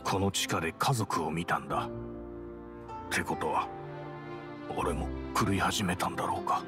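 A loyal, worn-out fighter in a dramatic game scene, played by a man. He talks in a low voice, familiar and emotional, with music clearly playing underneath. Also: moderate pace, close to an everyday voice. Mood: despairing. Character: loyal human warrior sorcerer, weary and pleading. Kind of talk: dramatic game cutscene acting. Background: background music.

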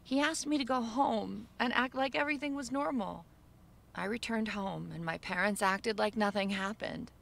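A woman giving measured, subdued first-person narration for an animated story video. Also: a little high, gravelly, slight background noise.

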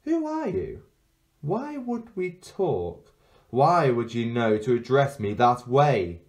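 Storybook villainess played by a man: ancient enchantress in girlish guise, imperious, coaxing, raised pitch, slow delivery. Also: sing-song, a little mellow. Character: ancient enchantress in a golden-haired young girl's guise, imperious, falsely innocent.

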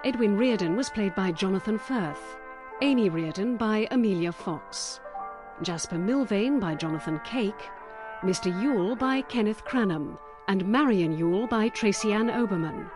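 A woman's voice: medium pitch, animated, moderate pace. Woman announcer over prominent music. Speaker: continuity announcer. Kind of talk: scripted radio credits announcement.

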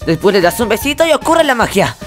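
A man with a Latin American accent voicing an excitable, foul-mouthed, clownish cartoon critter in a comedic fandub. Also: sits high, quick pace. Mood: playful. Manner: exclamatory, clownish. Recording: loud music over dubbed voice. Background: loud music.

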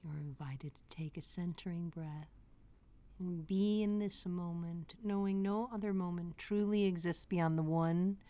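Slow, low-voiced woman guiding meditation. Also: steady tone, warm tone. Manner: soothing, measured, spacious phrasing. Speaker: guided meditation leader. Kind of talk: guided meditation over a sound bath. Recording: noisy recording with ringing chimes. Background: sound bath chimes and ambient wash.

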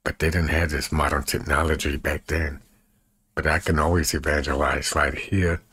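A man in his fifties with a husky voice, chatting casually to camera in a folksy way and repeating himself as he demonstrates. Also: extremely low pitch, flat delivery, moderate pace, sharp tone, no echo, clean studio sound. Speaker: evangelist and video creator. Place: small bedroom, indoors.

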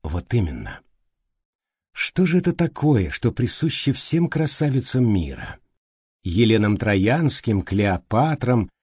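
A man voicing a thoughtful, courteous, exacting male detective in an audiobook, speaking politely and deliberately. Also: fairly low pitch, big pitch swings, a little mellow, breathy edge, dry recording, clean studio sound, full character voice. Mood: inquisitive. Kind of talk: audiobook character reading.

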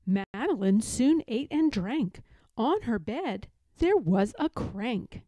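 A woman reading a children's picture book aloud in a deliberate, expressive storytelling manner. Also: a little high, dry recording, studio quality. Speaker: storybook reader.

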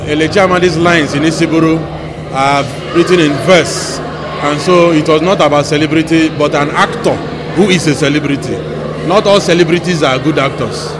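Nigerian man interviewed amid clear background noise. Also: a little high, steady tone, normal speed. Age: middle-aged. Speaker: stage director and lecturer. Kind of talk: unscripted interview answers. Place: bare indoor backstage room. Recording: noisy room ambience.

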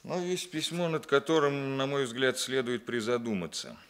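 An actor playing a stern, methodical police captain in a 1960s Soviet radio play, deliberate, authoritative and dryly ironic. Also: male voice, mid-range voice, animated, lightly acted.

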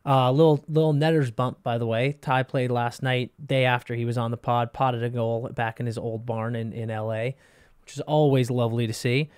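A man in his thirties co-hosting a hockey podcast, reading a sponsor spot and chatting in an emphatic, pumped-up way on a studio boom mic. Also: steady tone, normal speed, a little mellow, smooth, no echo. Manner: hyped, emphatic pitchman delivery. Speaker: podcast co-host. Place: studio with brick and wood walls. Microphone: boom-arm podcast mic.

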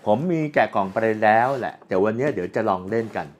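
Low-pitched man casually demonstrating gear. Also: fast talker. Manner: explanatory, with frequent polite particles and spoken handling sounds. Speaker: product reviewer. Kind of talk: casual unscripted product demonstration. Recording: clearly audible background ambient noise.

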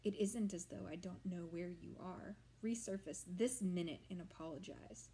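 A woman voicing a loud scolding old witch. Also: on the low side, normal speed, natural voice.

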